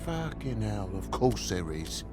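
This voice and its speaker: An actor with a British accent voicing an aggrieved, slangy middle-aged toad, a shifty dad in a video game, whining and indignant. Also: male voice, on the low side, big pitch swings, unhurried, over music. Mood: sullen, defensive. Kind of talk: dramatic video game dialogue.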